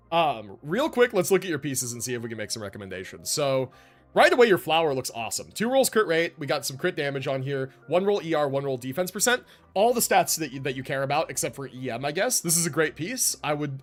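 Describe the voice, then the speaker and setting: Animated, higher-pitched man streaming a game. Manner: conversational, explanatory, often checking with "right?". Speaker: game livestreamer. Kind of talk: casual livestream commentary reviewing a game build.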